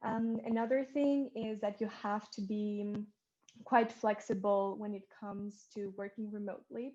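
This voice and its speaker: A woman answers on a panel with a mellow, dark voice. She speaks fluently and in a clear order. The sound is dull and lo-fi. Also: mid-range voice. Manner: fluent, structured. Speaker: student intern.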